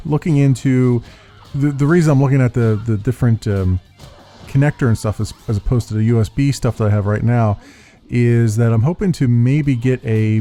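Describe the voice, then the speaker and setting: A man with a deep voice hosting a gaming channel, rambling through a casual update with many ums and restarts into a desktop mic. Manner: halting, full of uhs and restarts. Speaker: YouTube gaming channel host. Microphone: USB desktop condenser mic. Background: soft background music.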